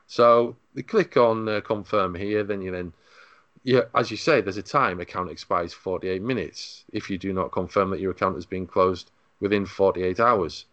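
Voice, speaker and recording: A man with a fairly low voice presents a tech podcast in a conversational, slightly wry style. The sound is dry and close, with little room around it. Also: normal speed, a bit bright, no room sound, lo-fi sound. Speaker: podcast host.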